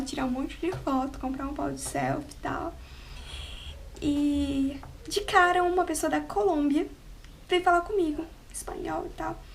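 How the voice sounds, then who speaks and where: High-voiced chatty woman vlogger. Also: darkish tone, slight background noise. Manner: chatty, laughs often, trails off with "né". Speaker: travel vlogger. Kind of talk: unscripted casual storytelling. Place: at home, with cats nearby.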